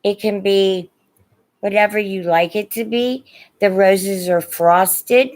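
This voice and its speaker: A woman's voice: medium pitch, fairly even intonation, slow pace, darkish tone. A middle-aged or older woman from Indiana with a Midwestern American accent, chatting casually as she sells vintage pieces on a livestream and addresses viewers with endearments. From Indiana, United States. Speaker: live online seller of vintage and antiques. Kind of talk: casual livestream sales chat.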